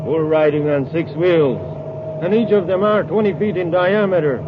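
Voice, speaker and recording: An actor playing a cold, scornful space saboteur with a curt, domineering manner in an old radio adventure serial. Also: male voice, a little high, normal speed, close to an everyday voice. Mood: brash, dismissive. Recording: noisy, tinny old radio recording.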